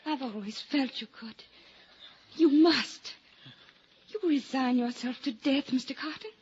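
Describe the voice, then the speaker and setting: An actress playing a gentle, compassionate young gentlewoman in an old-time radio drama, earnest and melodramatic in delivery. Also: high-pitched, measured pace, slightly rough, heavily acted. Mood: impassioned pleading. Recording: dull, noisy vintage radio recording.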